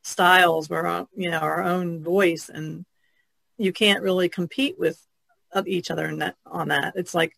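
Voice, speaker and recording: A woman's voice: lowish voice, steady tone, moderate pace, gravelly, a bit bright, a little hiss or hum. A woman chatting casually and reflectively as an artist guest on a podcast.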